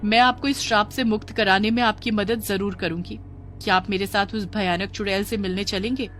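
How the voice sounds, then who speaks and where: Brave cartoon girl, played by a woman: compassionate, earnest, gentle, light music underneath. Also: mid-range voice, fairly even intonation, fairly quick, lightly acted. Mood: determined. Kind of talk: children's cartoon story dialogue.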